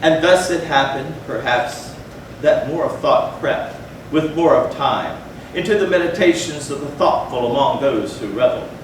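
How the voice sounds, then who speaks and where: Distant echoing man giving theatrical gothic reading. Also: medium pitch. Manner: measured, theatrical, deliberate phrasing with pauses. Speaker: readers theatre performer. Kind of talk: dramatic stage reading of a gothic tale. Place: large echoey room, live stage performance. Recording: echoey hall from far off, noisy.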